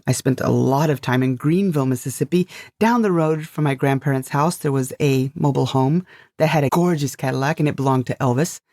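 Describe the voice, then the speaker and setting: A woman's voice: rumbling low, fairly quick, dark tone, no echo. A woman from the United States co-hosting a podcast, chatting casually about stories she writes. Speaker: podcast co-host and story writer.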